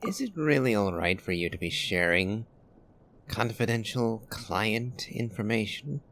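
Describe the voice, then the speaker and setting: A man voicing a courteous, cautious gentleman who speaks formally and hesitantly in a scripted game. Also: on the low side, unhurried. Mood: uneasy, deliberating. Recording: faint music behind the voice.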